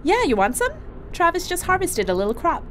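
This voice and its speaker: A woman's voice: extremely high pitch, very animated, brisk, fairly bright tone, slight background noise, full character voice. A woman playing a chatty, teasing female crewmate who laughs easily. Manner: bubbly, teasing, laughs often. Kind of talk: audio drama acting.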